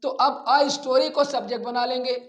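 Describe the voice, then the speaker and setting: A man teaching a grammar lesson, deliberately repeating phrases and addressing his students directly in an echoey, roomy recording. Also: very high voice, steady tone, a bit bright. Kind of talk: classroom-style grammar lesson.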